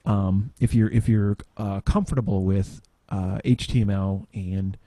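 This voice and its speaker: A man giving an informal software demo, halting and tangential as he thinks aloud in casual talk. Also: lowish voice, steady tone, no echo. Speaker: podcast panelist demonstrating software.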